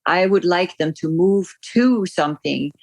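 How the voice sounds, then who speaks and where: Woman, middle-aged, from the Netherlands, native Dutch speaker, slightly low pitch, articulate, conversational interview guest. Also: a little slow, creaky, dry recording. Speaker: physician and podcast guest.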